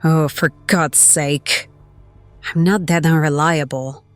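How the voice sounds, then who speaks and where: A woman's voice: low voice, steady tone, takes it slowly, faint music behind. A woman playing a skeptical, exasperated young survivor in an audio drama.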